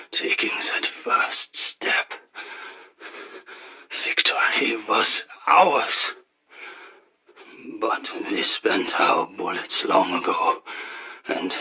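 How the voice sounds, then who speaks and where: A broken soldier who is no longer human. He drags each phrase out very slowly in a laboured, husky rasp, brooding and worn out, as in a game audio diary. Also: fairly low pitch, pushed and bright, exaggerated voice. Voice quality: harsh, rasping. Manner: weary, laboured, very slow, brooding. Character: exhausted, broken soldier transformed into something inhuman. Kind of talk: in-game audio log monologue.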